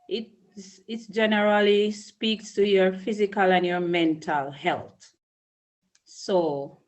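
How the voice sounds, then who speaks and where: Jamaican woman, middle-aged, principal being interviewed; low, unhurried, earnest. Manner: slow, measured, earnest with restarts. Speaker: early childhood school principal. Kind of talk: interview answers.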